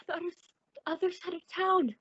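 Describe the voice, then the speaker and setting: A woman's voice: very high voice, fairly even intonation, a little fast, no room sound, highs cut off. A woman playing a frightened, timid victim in a radio suspense drama, hesitant and pleading. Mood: fearful, pleading.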